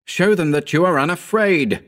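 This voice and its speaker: A man's voice: a little high, normal speed, fairly bright tone, pitched above the performer's own voice, close to an everyday voice. A man voicing an overwrought, horrified male narrator-hero who exclaims urgently and melodramatically in a horror audiobook.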